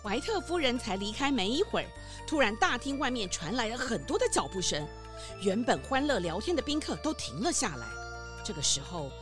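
A woman's voice: a little high, very animated, fairly quick, music in the background. A woman narrating a scripted children's mystery story in a suspenseful, dramatic way.

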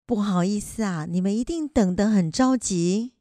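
A woman acting an earnest, studious elderly grandmother in a radio drama, with a Taiwanese accent and a gentle, hesitant manner. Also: medium pitch, normal speed, no room sound, clean studio sound, lightly acted. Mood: apologetic.

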